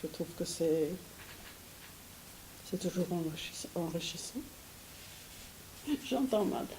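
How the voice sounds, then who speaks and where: An older woman from Syria giving an interview, speaking hesitantly with many restarts and soft laughs. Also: fairly low pitch, animated, slow, a little hiss or hum, poor audio. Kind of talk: recorded interview.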